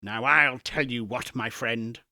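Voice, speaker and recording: A man voicing an old miser employer in an audiobook, theatrical and emphatic, swinging between mock gruffness and hearty joy. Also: medium pitch, steady tone, a little slow, sharp tone, no echo, studio quality, pitched below the performer's own voice. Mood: mock stern. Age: older. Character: gruff-pretending, then exuberantly jolly old miser employer. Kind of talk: dramatic audiobook character reading.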